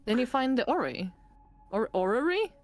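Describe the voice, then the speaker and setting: Giggly, animated woman gaming co-host. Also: on the high side, fairly quick. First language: not English. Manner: giggly and animated, laughs often. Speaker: let's play co-host. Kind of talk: casual gaming chat, reading narration aloud.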